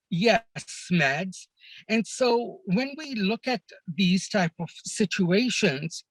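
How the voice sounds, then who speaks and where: A man from Kenya, a podcast guest, telling stories of his life abroad in an earnest, measured way, often pausing on "uh" and "you know". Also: high voice, rough recording. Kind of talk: reflective storytelling in a conversation.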